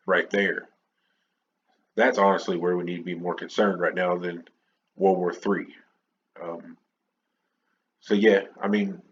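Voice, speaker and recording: A very low-voiced American man delivers an off-the-cuff opinion monologue as a podcast host. His talk is casual and wandering. The audio is dull and lo-fi, with some faint noise behind him. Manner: rambling, conversational.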